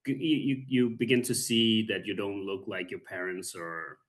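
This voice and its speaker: A Danish-accented man in his thirties, a podcast guest who speaks calmly and haltingly, with many pauses. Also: on the low side. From Denmark. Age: 30s. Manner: calm, soft-spoken, halting with many pauses. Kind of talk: casual interview.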